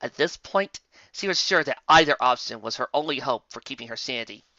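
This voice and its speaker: An animated storyteller reads scripted fiction with emphatic, dramatic phrasing. The voice is bright and lively in inflection, recorded close and dry with slightly dull, lo-fi sound. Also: mid-range voice, a little fast, no echo. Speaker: narrator.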